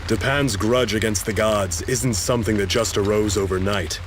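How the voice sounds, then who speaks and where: Man acting a game scholar, low voice, grave, solemn, measured, clear rain noise behind. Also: lightly acted. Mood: solemn, bitter. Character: grave, learned man, solemn storyteller of grievances.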